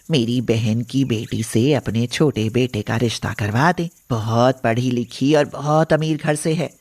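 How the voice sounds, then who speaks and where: A woman playing a snobbish, gossiping middle-aged neighbour in an animated moral story, catty and mocking. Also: extremely low pitch, breathy edge, dry recording, exaggerated voice.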